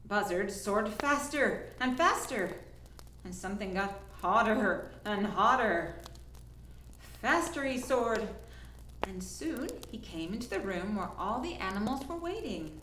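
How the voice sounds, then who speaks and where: Expressive, unhurried woman storyteller in an echoey room. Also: mid-range voice. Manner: dramatic, deliberate, drawn-out emphasis. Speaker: storyteller from a science museum. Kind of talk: expressive read-aloud of a children's story. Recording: echoey room, faint insect-like noise.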